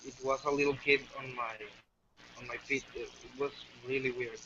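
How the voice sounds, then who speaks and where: A man in his twenties from Mexico with a Mexican Spanish accent, a native Spanish speaker telling a story haltingly over a voice call, full of fillers and restarts. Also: mid-range voice, flat delivery. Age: 20s. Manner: halting, many fillers and restarts, soft-spoken. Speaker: guest storyteller. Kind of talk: hesitant casual storytelling. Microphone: computer mic on a voice call. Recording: dull muffled voice-chat audio with faint hiss.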